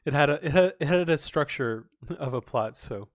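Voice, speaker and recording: A man's voice: on the high side, expressive, moderate pace, no room sound, lo-fi sound. A man from Hungary co-hosting a podcast in casual chat, hesitant and often restarting his sentences. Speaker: podcast co-host.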